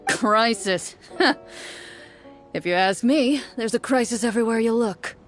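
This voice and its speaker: A woman playing a tabletop game master who narrates and voices townsfolk in a theatrical, expressive way. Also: on the high side, unhurried, lightly acted. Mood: grumbling. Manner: dramatic, expressive. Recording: music behind the voice. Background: background music.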